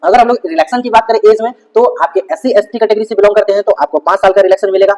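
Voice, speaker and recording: A man's voice: high voice, steady tone, rapid-fire, darkish tone. A man hosting an informational video, explaining a notice step by step in an instructional manner. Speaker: YouTube host explaining government job vacancies. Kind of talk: informational video narration, walking through a notice on screen. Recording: echoey hall-like reverb.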